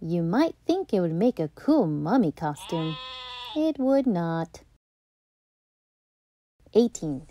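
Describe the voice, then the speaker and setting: A woman reading a children's picture book aloud as a storytime host, playful and deliberate, with dramatic emphasis. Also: medium pitch, darkish tone, slight background noise. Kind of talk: expressive children's read-aloud narration.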